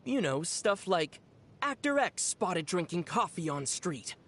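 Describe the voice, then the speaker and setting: An actor voicing a young traveling adventurer in a video game, level-headed and gently teasing, explaining things in a dry, playful way. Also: medium pitch, big pitch swings, a little slow, full character voice. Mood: dry, explanatory. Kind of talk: video game character dialogue.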